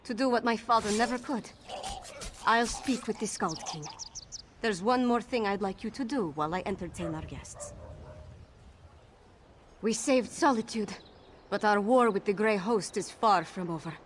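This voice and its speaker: A woman voicing a resolute young princess in a video game, speaking in a firm, earnest and measured way over game music. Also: medium pitch, a little hiss or hum, lightly acted. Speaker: princess of the city.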